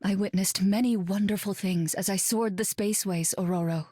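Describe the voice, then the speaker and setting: Game role: woman as an earnest heroine, mid pitch, dry sound. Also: steady tone, moderate pace, no room sound, natural voice. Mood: gentle. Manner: gentle, earnest. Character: warm psychic heroine woman.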